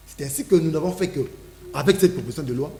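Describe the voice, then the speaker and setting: A man's voice: on the high side, fast, mellow. A man, a legal guest in a studio debate, explaining a law in an emphatic, lecturing way and repeating his phrases. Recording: echoey room with faint hum.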